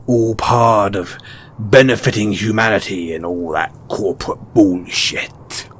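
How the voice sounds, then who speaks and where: A sly, cynical merchant of the wasteland acted by a man talks in a lowish voice, taking his time over every phrase. He addresses one listener with knowing, colloquial ease in a post-apocalyptic audio roleplay, over some background ambience. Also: slight background noise, close to an everyday voice. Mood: wry, knowing. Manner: unhurried, colloquial, knowing, with pointed pauses.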